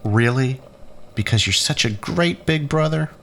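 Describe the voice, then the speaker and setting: A man's voice: medium pitch, expressive, unhurried, vocal fry, fairly bright tone. A man acting in a science fiction audio drama as a bitter, sardonic younger-brother scientist, with mocking, theatrical, erudite delivery. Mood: teasing, sly. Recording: faint noise and room ambience.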